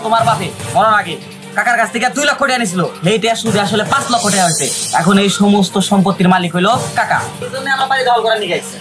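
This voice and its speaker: A man's voice: high voice, racing, pauses often, music in the background, lightly acted. A man playing an aggressive henchman in a village drama, pushy and threatening, eager for a fight. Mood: menacing.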